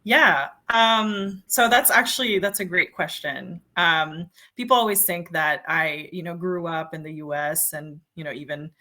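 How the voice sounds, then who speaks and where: A woman's voice: on the low side, unhurried, few pauses, bright, forceful tone. A woman in her thirties, born in the Philippines, chatting as an interview guest with many ums and you-knows. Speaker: journalist and guest. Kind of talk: casual interview talk.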